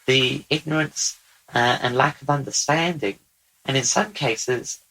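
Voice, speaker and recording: A man speaking as a channeled otherworldly male being, slow, deliberate and repetitive. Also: mid-range voice, pushed and bright, rough recording. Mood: earnest. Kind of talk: channeled spiritual talk.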